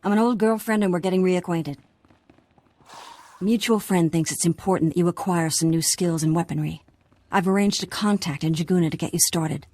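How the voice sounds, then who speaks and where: An actress playing a sly, teasing undercover contact with an edge of threat in a video game. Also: female voice, on the low side, fairly quick, warm tone, close to an everyday voice.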